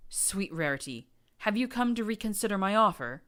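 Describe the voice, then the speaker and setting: A woman's voice: mid-range voice, animated, moderate pace, fairly bright tone, pitched above the performer's own voice, close to an everyday voice. A woman voicing a hissing, deranged ghoul prince. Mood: hissing mock-gentle. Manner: hissing, falsely genteel, erupting into screams. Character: pompous deranged undead male pony prince.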